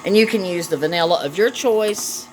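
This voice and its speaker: A woman's voice: fairly low pitch, moderate pace, a little mellow. A woman giving a casual cooking demonstration, chatty and affectionate as she talks directly to viewers. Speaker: home cooking vlog host. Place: home kitchen, indoors. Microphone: phone camera on the counter. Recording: noisy home kitchen with clattering dishes.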